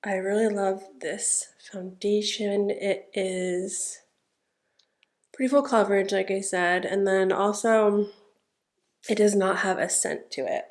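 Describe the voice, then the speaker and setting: A woman's voice: medium pitch, slow pace. A young woman with a stuffed-up nose who chats casually through a makeup tutorial, narrating every step with lots of "like" and "um". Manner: chatty, relaxed, narrating each step with fillers. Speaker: beauty vlogger.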